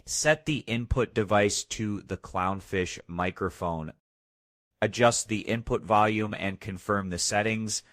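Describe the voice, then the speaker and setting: A man narrating a scripted step-by-step software tutorial as its host. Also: fairly low pitch, a little slow, no echo.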